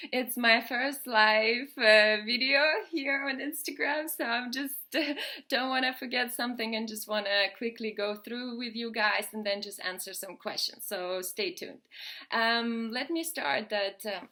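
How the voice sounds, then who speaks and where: A woman's voice: on the high side, bright, forceful tone. A woman, a model and coach giving posing tips live on a phone. She talks in a chatty, instructive way with many fillers and nervous little laughs. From Lithuania. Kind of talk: live-stream posing tutorial. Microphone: phone camera.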